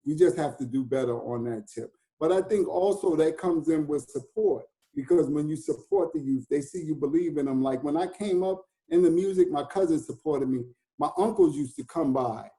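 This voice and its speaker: An American man in his fifties talks through his memories in an easy, unhurried way. His voice is mellow and warm, and he laughs easily. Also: fairly high pitch, low-quality recording. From United States. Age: 50s. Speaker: DJ and hip house artist. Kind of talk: casual conversation.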